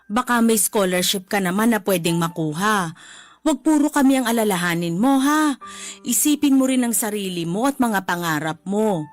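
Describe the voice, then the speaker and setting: A woman playing a loving, middle-aged widowed mother with a Filipino accent in a radio drama, tender, gently teasing and encouraging. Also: mid-range voice, animated, moderate pace, faint music behind, close to an everyday voice. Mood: tender encouragement.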